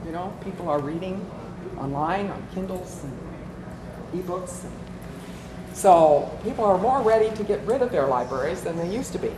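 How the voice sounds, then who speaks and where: A woman's voice: on the low side, lively intonation, moderate pace. A woman giving an informal workshop talk as a volunteer presenter, conversational and inclined to tell stories. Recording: echoey room with clear background noise.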